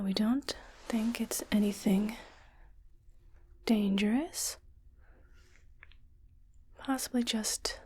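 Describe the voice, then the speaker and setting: Casting: woman to play a reassuring female starship medic for ASMR roleplay, whispered and breathy, clinical yet soothing, very unhurried delivery. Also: medium pitch, mellow. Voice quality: breathy, mostly unvoiced whisper. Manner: slow, measured, clinical and soothing. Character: calm, reassuring female ship's doctor in science fiction.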